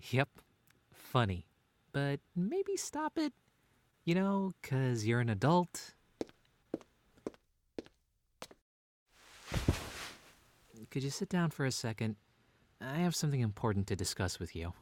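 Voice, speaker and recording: A man's voice: mid-range voice, wildly swooping pitch, moderate pace, no room sound. A man playing a playfully bickering, doting stay-at-home dad in an audio drama, wry but earnest. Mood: exasperated. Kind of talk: two-hander audio drama reading.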